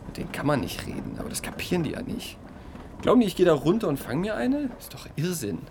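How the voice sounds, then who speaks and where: An irritable theater actor in an audio drama. His delivery is very lively in pitch, colloquial and defensive, with an agitated edge. Faint ambient noise sits behind the voice. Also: quick pace, creaky, full character voice. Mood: indignant. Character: irritable, self-pitying theater actor, a man in his thirties. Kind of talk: naturalistic audio drama dialogue.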